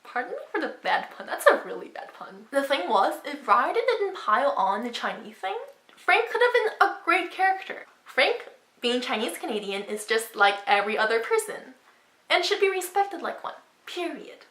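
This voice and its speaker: Bright, high-pitched, animated woman in echoey room. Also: moderate pace. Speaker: book vlogger. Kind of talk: opinionated commentary to camera. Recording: roomy echo.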